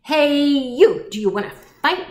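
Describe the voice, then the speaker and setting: A woman voicing a grouchy, greedy female ladybug from a storybook, bossy, shouting and itching for a fight. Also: medium pitch, expressive, moderate pace, echoey room, lightly acted. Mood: belligerent, boastful. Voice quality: loud, shouting. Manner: pugnacious, blustering.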